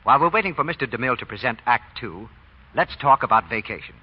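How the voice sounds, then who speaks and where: Old time american radio ad guy, husky, really lively delivery, dull recording. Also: medium pitch, fast. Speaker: announcer. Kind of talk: 1940s scripted radio advertising.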